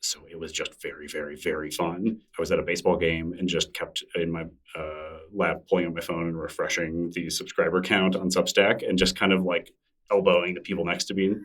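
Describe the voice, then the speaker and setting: A man with a very low voice talks in an easy, enthusiastic way during an interview, often breaking into laughter. He sits a little back from the microphone. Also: level tone, brisk, a bit bright, far from the mic. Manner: chatty, enthusiastic, laughs easily. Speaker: podcast guest, newsletter creator. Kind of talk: casual interview conversation.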